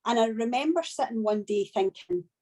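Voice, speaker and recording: A woman from Scotland with a strong Scottish accent, giving a training talk on a video call and telling her story slowly and deliberately. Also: a little high, animated, dark tone. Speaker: business trainer.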